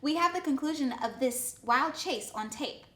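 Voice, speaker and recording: A woman plays a TV news anchor in a comedy sketch. The voice is high, the delivery formal and scripted, and the room rings with echo. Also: animated, normal speed, sharp tone. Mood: newsy. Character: Jill, female fairy tale TV news anchor. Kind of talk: mock TV newscast, scripted. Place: indoor studio set. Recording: echoey room.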